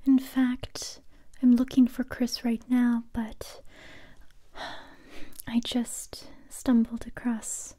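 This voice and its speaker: A young woman playing a tough, determined survivor interrogating a captive in a soft, breathy ASMR whisper, close to the microphone. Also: fairly high pitch, level tone. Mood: hushed, confiding. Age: young adult. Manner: slow, deliberate, repeating phrases. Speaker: ASMR roleplay performer.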